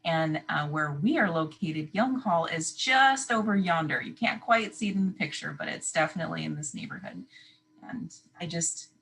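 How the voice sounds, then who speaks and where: A woman's voice: low-pitched, expressive, normal speed, fairly bright tone, breathy edge, rough recording. A woman advisor from California introducing herself in a friendly, chatty way on a webinar video call. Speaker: academic advisor.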